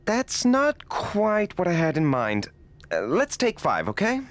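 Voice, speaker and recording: A pretentious film director, effusive and touchy-feely, coaching actors in a video game scene. His pitch swings wildly as he speaks, full of theatrical feeling. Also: huge pitch swings, unhurried, exaggerated voice. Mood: earnest, instructing. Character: gushing, pretentious male film director running an audition. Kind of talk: video game cutscene acting.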